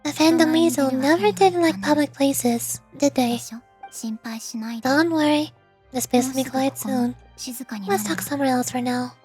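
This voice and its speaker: A cool young female magician's assistant from a game, acted by a woman. The delivery is deadpan and quietly pointed, in a high register over faint music. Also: measured pace, pauses often. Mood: calm, cool. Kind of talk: video game character voice acting.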